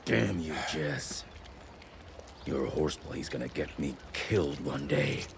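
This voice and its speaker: Gruff old west american sidekick in a game, very low slow voice, noisy ambience. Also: male voice, full character voice. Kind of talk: video game cutscene acting.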